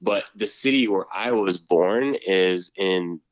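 A man answering questions as a podcast guest, his voice sitting a little low. He speaks hesitantly, restarting phrases and filling gaps with "uh", and laughs easily. The recording sounds dull and lo-fi. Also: takes it slowly, no echo. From Canada. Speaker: podcast guest, student council member. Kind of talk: casual interview chat.

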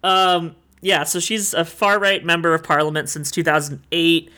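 A podcast co-host chatting casually, with quick, wry jokes. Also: medium pitch, level tone, bright, forceful tone. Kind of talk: casual comedic chat.

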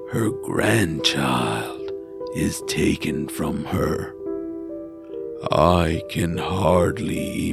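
A man playing a huge, sly man-eating rock monster in an audio drama, gravelly, halting and solemn, with a falsely tender coaxing manner. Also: extremely low pitch, exaggerated voice.

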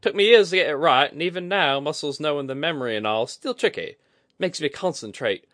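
Weathered, plain-spoken seafaring woman played by a male narrator, folksy and superstitious, lifted pitch, for a fantasy audiobook. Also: lively intonation, brisk, fairly bright tone, dry recording, clean studio sound. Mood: wry. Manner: folksy, clipped dropped-g speech.

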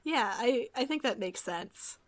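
A woman's voice: a little high, expressive, unhurried. A woman running a tabletop game, chatting casually about the session, with many filler words and easy laughter. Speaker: game master. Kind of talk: casual podcast chat after a tabletop game. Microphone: video call.

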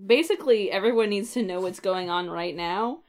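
Mid-pitched woman in casual podcast chat. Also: fairly quick. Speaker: podcast co-host. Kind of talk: casual chat recapping a comic book.

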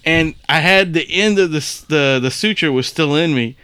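Bright, clear-voiced man telling crude stories. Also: fairly high pitch, normal speed, no room sound. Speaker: podcast co-host. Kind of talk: casual, profane comedic storytelling.

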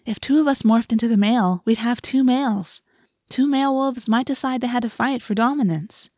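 A woman voicing a gentle, patient, reasonable teenage girl who chides softly in an audiobook. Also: medium pitch, fairly quick, dry recording, studio quality, lightly acted. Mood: patient, chiding. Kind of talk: audiobook character voice.

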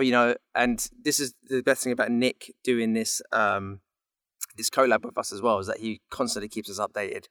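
A man's voice: fairly low pitch, fairly bright tone, no room sound, studio quality. A man talking casually as a voice actor guest in an interview.

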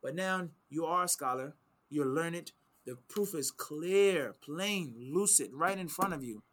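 Forceful higher-voiced man teaching religion. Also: lively intonation, unhurried. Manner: emphatic, repeats key phrases, quotes Arabic texts. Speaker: Islamic teacher answering questions. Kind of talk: explanatory religious discussion.

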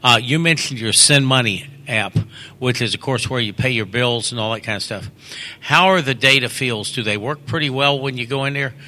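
A man's voice: mid-range voice, normal speed, pushed and bright. A man in the audience of a meeting, asking a long, chatty question into a handheld mic.

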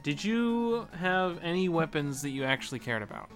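A man's voice: fairly high pitch, expressive, moderate pace, fairly bright tone, soft background music. A man co-hosting a podcast, chatting informally and jokingly about a video game. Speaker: podcast co-host.

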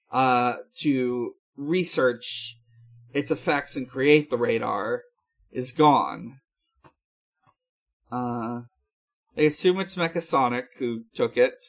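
A man hosting a podcast, giving a casual recap in a halting, hesitant way. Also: mid-range voice. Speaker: podcast host. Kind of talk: casual episode recap chat.

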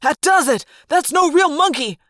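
Lady doing a crazed bad guy in an audiobook, high and yelling orders, dry sound. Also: lively intonation, moderate pace, no echo. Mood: furious, manic. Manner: yelling, giddy and manic. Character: frantic, cruel male villain barking orders.

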